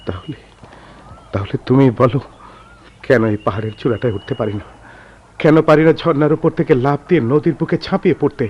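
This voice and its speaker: A man's voice: medium pitch, brisk, pauses often, slightly rough, lightly acted. An actor playing a lonely, wounded man who flees others' pity, with melancholy, poetic, theatrical delivery in a radio drama. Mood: anguished. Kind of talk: Bengali radio drama acting. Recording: dull, lo-fi, noisy old radio recording.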